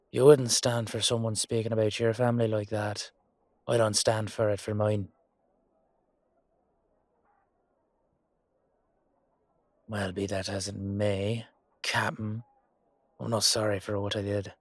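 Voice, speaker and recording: Guy acting as gruff irish knight, lowish voice, dry sound, hot-headed but loyal, swears a bit, fantasy audio rp. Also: little pitch movement, a little slow, no room sound. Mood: angry, defiant. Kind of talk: scripted roleplay audio drama acting.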